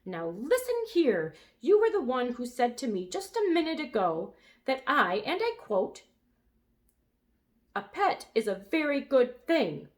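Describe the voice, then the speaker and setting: A woman voicing a bossy, snippy young girl with a squeaky voice in a storybook reading. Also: animated, a little slow, hardly any pauses, a bit bright. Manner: high, shrill and demanding.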